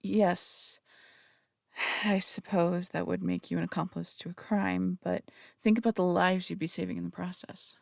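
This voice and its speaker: A very creaky, dry, close female voice acted by a woman plays a flirtatious phantom thief in an audio roleplay. She speaks in theatrical, polished phrases, teasing and reassuring her listener, with small hesitant stammers. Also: fairly low pitch, little pitch movement, normal speed, dry recording. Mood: coaxing.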